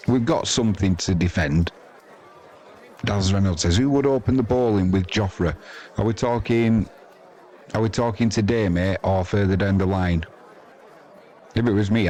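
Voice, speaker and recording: A man's voice: fairly low pitch, darkish tone. A man giving casual, chatty live cricket commentary in an informal, self-deprecating way.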